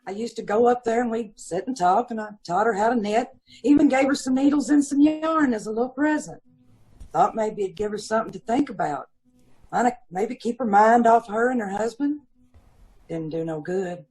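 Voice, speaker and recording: A woman's voice: fairly high pitch, animated, normal speed, a little mellow, breathy edge, low-quality recording. A woman playing a gossipy, aggrieved middle-aged landlady and mother of five, talking folksy and ungrammatical in old-time radio style. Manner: folksy ungrammatical talk, indignant and self-pitying. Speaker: witness in radio police drama.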